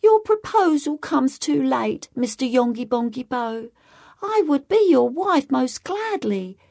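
A tearful, gentle storybook lady, as a woman reader puts her on, turning down a proposal in a high, lilting sing-song. Her voice sits well above the reader's own, soft and mellow in tone. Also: very animated, measured pace, vocal fry, heavily acted. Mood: sorrowful. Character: sad, gentle nonsense-poem lady declining a suitor.

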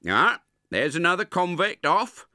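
Man playing a gentle simple blacksmith, raised soft voice, homely country talk. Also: high voice, sing-song, measured pace, no room sound, studio quality, voice pushed up, heavily acted. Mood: mild.

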